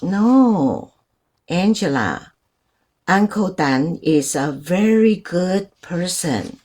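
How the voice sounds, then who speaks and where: Slow, husky gentle grandmother. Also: on the low side, sing-song, exaggerated voice. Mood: gentle reassuring. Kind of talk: radio drama acting.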